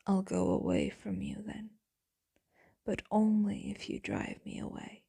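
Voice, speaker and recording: A woman playing a devoted, melancholy ageless vampire lover in an intimate, breathy ASMR roleplay monologue. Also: mid-range voice, flat delivery, warm tone, no room sound, full character voice. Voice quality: breathy, whispery. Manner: slow, intimate, tender, breathy delivery.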